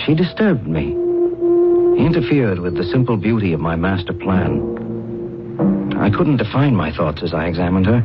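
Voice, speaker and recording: An actor playing a cold, emotionless humanlike alien in a radio drama, speaking in a formal, deliberate, detached way. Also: male voice, deep voice. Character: cold, emotionless humanlike alien man bent on invading Earth. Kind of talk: radio drama acting with first-person narration. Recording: muffled radio drama recording, loud music behind.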